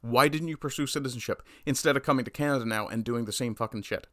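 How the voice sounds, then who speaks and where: Male streamer host, blunt and profane, bright forceful tone, dry close mic. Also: mid-range voice, fast talker, no echo. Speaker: livestream commentator. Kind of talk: casual political commentary, reading chat.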